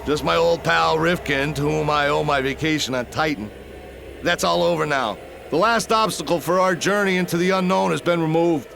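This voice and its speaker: Cocky gravelly mercenary. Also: male voice, fairly high pitch, moderate pace, noisy background. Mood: self-assured. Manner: flippant, casual, self-assured. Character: cocky wisecracking male mercenary field commander. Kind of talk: video game cutscene acting.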